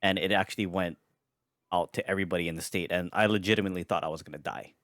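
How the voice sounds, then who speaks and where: A man's voice: deep voice, steady tone, fast talker, fairly bright tone, no echo, studio quality. A man from Hawaii co-hosting a podcast, telling a story earnestly and swearing freely. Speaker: podcast co-host. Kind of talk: casual chat.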